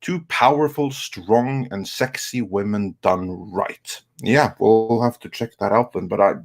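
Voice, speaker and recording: A man hosting a casual live chat show and reading out viewer messages in a halting way. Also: lowish voice. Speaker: host of a live stream show.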